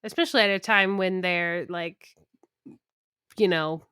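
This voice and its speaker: A woman's voice: lowish voice, unhurried, bright, forceful tone, clear, resonant tone, dry recording, clean studio sound. A young woman co-hosting a podcast, chatting casually with lots of fillers, recorded remotely on her own mic. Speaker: podcast co-host. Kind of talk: casual chat.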